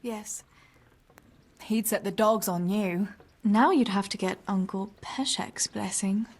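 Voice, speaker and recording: Husky young medieval village woman. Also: mid-range voice, steady tone, slow. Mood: playful scolding. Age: young adult. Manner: teasing, bantering. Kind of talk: naturalistic video game dialogue acting.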